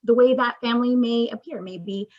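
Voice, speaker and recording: A woman's voice: fairly high pitch, moderate pace, darkish tone. A woman giving an informal presentation as an outreach director over a video call with dull, lo-fi sound.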